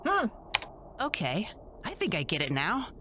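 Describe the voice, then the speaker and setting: A naive, stubborn boy hero voiced by a woman with a lively, boyish delivery. It is a cartoon fandub performance recorded with clear noise and keyboard clatter behind it. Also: medium pitch, measured pace. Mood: curious, earnest.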